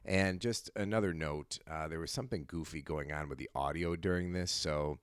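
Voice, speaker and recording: A man's voice: a bass voice, moderate pace, clear, resonant tone, no room sound, studio quality. A man hosting a podcast, introducing his guest in a friendly way with some filler hesitations. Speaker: podcast host. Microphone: video call.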